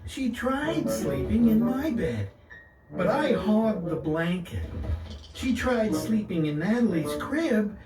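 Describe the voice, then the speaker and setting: A woman narrating a children's picture book deliberately and expressively, with dramatic pauses. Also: medium pitch, very animated. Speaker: guest story reader. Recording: roomy distant voice under loud music. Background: loud instrumental music.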